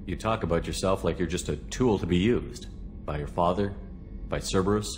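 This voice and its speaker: An actor playing a steady, direct military space commander in a video game, firm and probing, with music behind him. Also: male voice, low voice, normal speed, lightly acted. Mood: probing, reassuring. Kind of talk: video game dialogue acting. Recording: background music under the voice.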